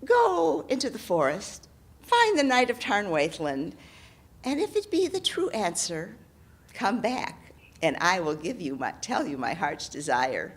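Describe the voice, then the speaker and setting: A woman's voice: medium pitch, sing-song. Sly old hag, as a woman storyteller puts her on. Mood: sly, teasing. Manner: coaxing, drawn-out teasing lines. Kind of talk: oral storytelling.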